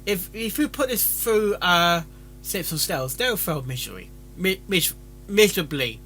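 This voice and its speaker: A man giving casual, rambling commentary, haltingly repeating and restarting his phrases. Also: high voice, expressive, bright, forceful tone, soft background music. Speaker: reaction video host reviewing fan fiction.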